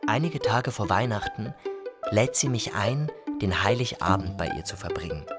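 A man narrating a literary story for a radio feature in a measured storytelling manner. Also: fairly low pitch, expressive. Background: music.

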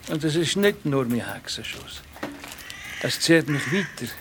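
A man's voice: a little high, lively intonation, measured pace, noisy background. A man playing a kindly, patient old wandering pilgrim scholar in a children's radio play, with a Swiss German accent and an encouraging, musing manner. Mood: restless, resigned. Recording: music behind the voice.

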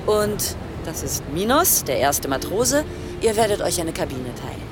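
An actress playing a brisk, sinister female yacht captain in a radio play, clipped and smoothly menacing. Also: lowish voice, big pitch swings, noisy background. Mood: jovial, commanding.

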